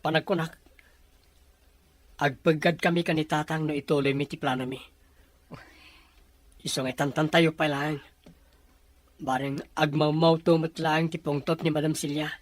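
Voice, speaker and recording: A man's voice: fairly high pitch, level tone, normal speed, long pauses, natural voice. A man playing a cautious, respectful and worried grown son in a radio drama. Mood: resigned, cautious.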